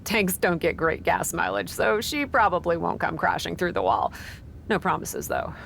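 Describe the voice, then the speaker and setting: A woman voicing a cocky young female wrestler in a game, breezy, flippant and quick to defend herself. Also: on the low side, expressive, gravelly, a bit bright, slight background noise, heavily acted. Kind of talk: game cutscene dialogue.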